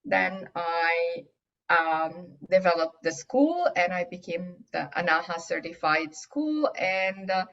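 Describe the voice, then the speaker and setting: This is a woman whose first language is Arabic, speaking in an unhurried, halting way with frequent fillers. She answers casual interview questions as a guest. The audio is dull and lo-fi. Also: fairly low pitch, lively intonation, a bit bright. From Egypt. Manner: hesitant, many fillers and restarts. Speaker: guest aromatherapy teacher.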